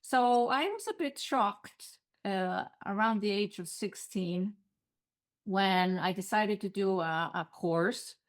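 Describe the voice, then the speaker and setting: A woman's voice: mid-range voice, slow pace. A middle-aged woman with an Icelandic accent, a guest telling her story in an earnest way on a podcast interview. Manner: earnest, storytelling, occasional non-native phrasing.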